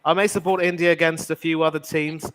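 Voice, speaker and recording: A man casually chatting on a football watch-along and switching into measured, commentator-style play-by-play. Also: a little high, level tone, over a noisy crowd, poor audio.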